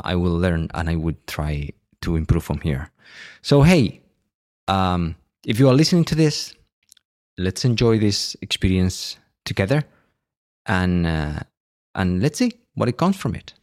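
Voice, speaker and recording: A middle-aged man with a Catalan accent, speaking English as a second language in a halting solo monologue with many restarts and fillers. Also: low-pitched, big pitch swings, a little mellow. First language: Catalan and Spanish. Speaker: podcast host.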